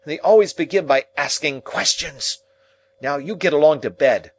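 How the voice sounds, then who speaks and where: A man's voice: fairly high pitch, very animated, slow pace, darkish tone, slightly rough, voice pushed up, heavily acted, rough recording. A man voicing a snappish, scolding older sister in a storybook reading. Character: shrill scolding sharp-tongued older sister.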